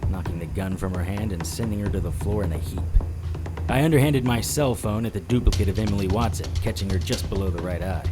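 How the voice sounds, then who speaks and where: An actor playing a tough, wisecracking private detective in an audio drama, with wry deadpan delivery and noir-style narration. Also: male voice, sits low, natural voice.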